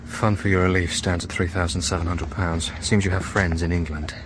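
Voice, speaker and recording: An actor playing a kindly, measured reverend in a period radio drama, speaking formally and reassuringly. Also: male voice, sits low, level tone, background noise, natural voice. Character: kindly reverend bringing news to prisoners.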